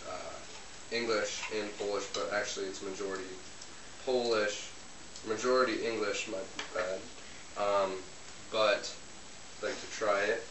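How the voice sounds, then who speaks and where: A man's voice: fairly low pitch, lightly acted. A man playing a young Polish man who talks hesitantly with many ums while he cooks in a home kitchen, recorded from a distance. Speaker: interviewee cooking on camera. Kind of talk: amateur comedy sketch interview. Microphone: camera mic from a distance. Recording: roomy, distant, hissy home video.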